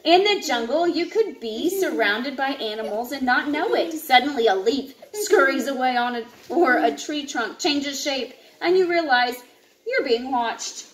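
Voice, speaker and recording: High-voiced woman reading aloud, echoey room. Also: animated, unhurried. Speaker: parent reading aloud to children. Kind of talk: reading a children's nature book aloud. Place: indoors, open living room and kitchen at home. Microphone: camera a short distance away. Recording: echoey room with clear background noise.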